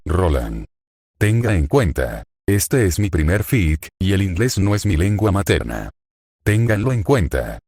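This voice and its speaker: A man with a low, deep voice and a Spanish accent from Spain reads a fan story aloud. His delivery is steady and even, and the recording is dry and close, with no room sound around him. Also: dry recording. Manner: steady, even-paced reading of narration and quotes. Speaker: fanfiction reader.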